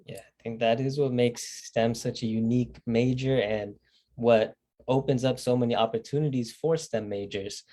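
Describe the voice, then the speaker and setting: A young man with a mellow, relaxed voice hosts an online Q&A session over a video call. He reads questions and transitions in a scripted, slightly halting way, with um's between points. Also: medium pitch. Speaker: host of a live session. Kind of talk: moderating a live Q&A.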